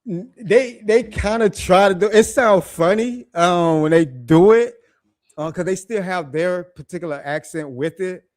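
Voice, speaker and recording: A middle-aged man talks in a loose, laid-back way, full of slang and repeated phrases. His voice is raspy, and he pitches it somewhat high. It sounds like an easy, unhurried conversation. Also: no room sound, studio quality. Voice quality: deep raspy voice. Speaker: guest living abroad. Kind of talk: casual chat.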